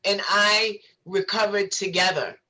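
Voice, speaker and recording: Passionate woman guest, bright tone, heartfelt and repetitive. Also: medium pitch, fairly even intonation, a little slow, rough recording. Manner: passionate, effusive, repeats herself. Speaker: guest from a recovery organization. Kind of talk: casual live-stream chat.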